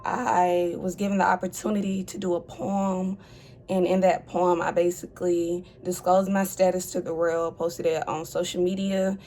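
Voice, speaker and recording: A woman's voice: fairly low pitch, level tone, moderate pace, mellow, a little hiss or hum. A woman in her twenties speaking as an advocate, composed and articulate, telling her story in an interview. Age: 20s. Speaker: HIV advocate. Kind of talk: interview testimony.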